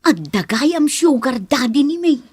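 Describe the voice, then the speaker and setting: Gossipy middle-aged auntie, high pitch, insinuating, scornful, Filipino accent, radio drama delivery. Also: female voice, expressive, measured pace, darkish tone. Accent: Filipino, Ilocano. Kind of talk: Ilocano radio drama acting.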